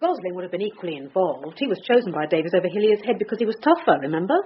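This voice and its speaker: An actress playing a brisk, dogged British police detective in charge, reasoning aloud in a naturalistic radio drama. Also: female voice, fairly low pitch, sing-song. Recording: dull radio recording with faint noise.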